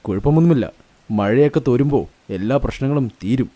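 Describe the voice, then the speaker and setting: An actor playing a practical, reassuring husband and son, calm and matter-of-fact, in a cartoon moral story. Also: male voice, mid-range voice, fairly quick, lightly acted.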